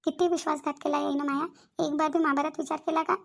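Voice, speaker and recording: A woman's voice: high voice, level tone, quick pace, creaky. A woman voicing a hurt young wife in a melodramatic village cartoon, plaintive and accusing, with a Varhadi Marathi accent. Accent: Varhadi Marathi (Vidarbha).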